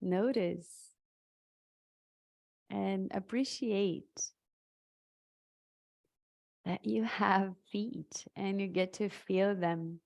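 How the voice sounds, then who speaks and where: A woman leading a live guided meditation in a soothing, halting way, leaving long pauses between short phrases. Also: mid-range voice, creaky, darkish tone.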